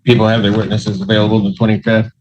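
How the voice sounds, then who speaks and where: A man's voice: lowish voice, completely flat delivery, fast talker. A man speaking as a judge, giving formal rulings in a terse, authoritative manner. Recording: muffled audio with faint noise.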